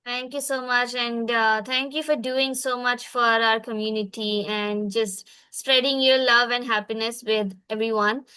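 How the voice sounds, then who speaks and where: A woman's voice: on the high side, fairly even intonation, measured pace, hardly any pauses, sharp tone, smooth, dry recording. A woman hosting an online cultural exchange on a video call, moderating informally.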